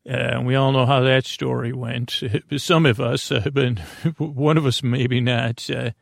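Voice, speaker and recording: A man hosting a sleep podcast, with a creaky, gravelly voice, rambling in a meandering, halting monologue that often stops and restarts. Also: mid-range voice, fairly even intonation, no echo, clean studio sound. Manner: meandering, halting, self-deprecating.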